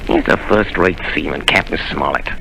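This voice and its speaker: An actor playing a sly, scheming one-legged pirate cook in a 1930s radio drama, growling and persuasive, on an old hissy broadcast recording. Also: male voice, extremely low pitch, normal speed, creaky, bright, forceful tone, heavily acted, low-quality recording. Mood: menacing.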